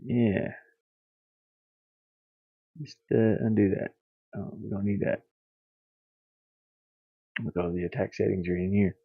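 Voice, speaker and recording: Man, low slow creaky voice, halting absent-minded muttering, casual livestream. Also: fairly even intonation. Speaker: livestreamer, hobby game developer. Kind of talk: casual livestream, thinking aloud while working. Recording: boxy echo, thin phone-like sound.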